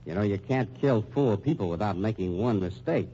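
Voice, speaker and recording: An actor playing a sardonic, hard-boiled American police lieutenant, dry and folksy with menace, in 1940s radio style. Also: male voice, lowish voice, lively intonation, moderate pace, warm tone. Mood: pressing. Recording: muffled old radio recording, faint noise.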